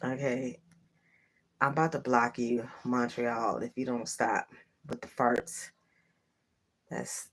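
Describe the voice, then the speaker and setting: A young woman talks to her livestream viewers from bed. Her voice sits low and breathy, and she drifts between sleepy half-whispers and bursts of laughter. She is recorded on a phone camera in a bedroom at home. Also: rough recording. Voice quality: husky, breathy voice. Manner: drowsy, drifting between murmurs and sudden loud laughs. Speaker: livestream host. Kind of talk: casual livestream chat with viewers.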